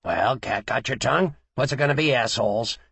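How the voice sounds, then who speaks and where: A man's voice: mid-range voice, brisk, creaky, fairly bright tone, slightly rough, no room sound, heavily acted. A man voicing a crude, bullying male mob enforcer who threatens with snarling menace. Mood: menacing. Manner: snarling tough-guy menace.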